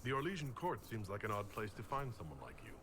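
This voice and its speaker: An actor playing a composed, inquisitive fantasy game hero who questions politely. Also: male voice, on the low side, very animated, fast, a bit bright, roomy sound. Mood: composed, curious.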